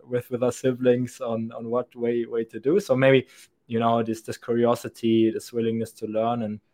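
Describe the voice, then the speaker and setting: A man's voice: mid-range voice, monotone, moderate pace. Young man rambling through interview answers. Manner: rambling and thoughtful, frequent restarts and soft laughs. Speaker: podcast guest, sales account executive.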